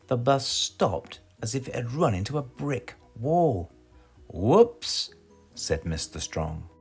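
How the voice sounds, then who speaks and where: A man's voice: mid-range voice, very animated, unhurried, faint music behind. A man with a British accent reads a children's storybook aloud dramatically, putting questions to his young listeners. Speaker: storybook reader.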